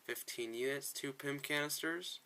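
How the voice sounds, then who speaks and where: A man with a very bright, forceful voice talks through his gameplay in a casual, off-the-cuff way. He is opinionated and readily exasperated, speaking at an ordinary pitch into a close mic. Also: normal speed, smooth. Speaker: gaming video creator, player. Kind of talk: casual game commentary with dialogue read aloud.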